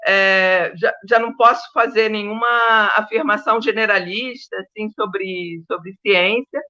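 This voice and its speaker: A woman's voice: medium pitch, fairly even intonation, a little slow, sharp tone, highs cut off. A Brazilian woman, a historian of science, speaking informally as a panel guest in an emphatic, digressive, conversational manner, often checking that listeners follow. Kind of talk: informal academic panel talk.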